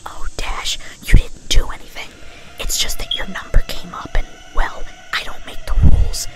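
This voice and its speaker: A woman's voice: moderate pace, natural voice. Woman doing a giggly killer girl pony, all whispers, sweet and chatty but menacing, music behind. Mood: sweet menace. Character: gleeful, giggly murderous pink party pony, female. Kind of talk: whispered ASMR storybook reading.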